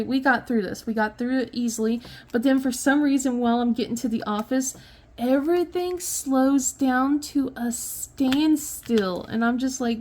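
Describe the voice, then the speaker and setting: A woman's voice: fairly high pitch, darkish tone, slight background noise. A woman in her thirties chatting casually as a crafting vlogger, rambling with many fillers and restarts, a little sniffly. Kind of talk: casual chat while diamond painting.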